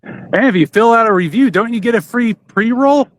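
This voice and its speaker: A man's voice: extremely high pitch, expressive, normal speed, slight background noise. A man hosting a podcast, talking casually in a rambling, enthusiastic fan's way. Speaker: podcast host. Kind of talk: casual chat about wrestling. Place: outdoors in front of a theater.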